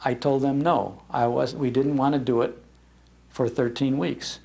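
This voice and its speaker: An older American man giving an informal, anecdotal public talk in an animated, conversational manner. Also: medium pitch, a little slow, gravelly. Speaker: speaker on old-time radio history, broadcaster. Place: public library talk before an audience.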